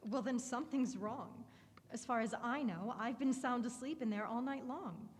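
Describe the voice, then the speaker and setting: A woman acts out a sly young female houseguest in a 1940s radio play. Her voice is fairly high, coy and airy, always protesting innocence. It carries the long echo of a hollow room. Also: normal speed, lightly acted. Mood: feigned surprise. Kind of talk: 1940s radio drama acting.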